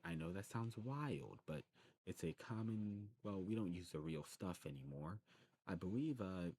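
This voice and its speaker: A man's voice: low-pitched, lively intonation, a little slow, a little mellow, slightly rough. A man doing an ASMR roleplay as a friendly, knowledgeable shopkeeper, chatting informally in a rambling, self-correcting way. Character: friendly demon snuff shop keeper, knowledgeable and enthusiastic. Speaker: ASMR performer playing a snuff shopkeeper. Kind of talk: ASMR shop roleplay, casual recommending chat.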